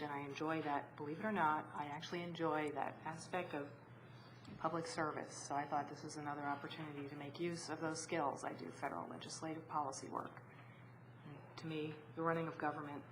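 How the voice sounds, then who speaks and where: A woman giving a plain statement as an applicant at a public meeting. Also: sits low. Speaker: committee applicant, policy professional. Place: indoor council meeting room. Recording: clearly noisy meeting room.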